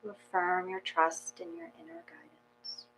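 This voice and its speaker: A woman co-hosting a meditation class, guiding gently and calmly, then chatting with soft agreeing murmurs. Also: on the low side, unhurried, slight background noise, low-quality recording. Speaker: meditation co-host. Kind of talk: guided meditation and casual chat.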